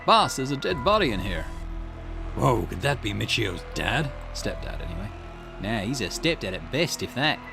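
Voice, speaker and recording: A man's voice: lowish voice, sing-song, moderate pace, creaky. A man hosting a gaming channel, reading a detective game's dialogue aloud in a let's play, swinging between character lines. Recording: game music playing underneath. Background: game music.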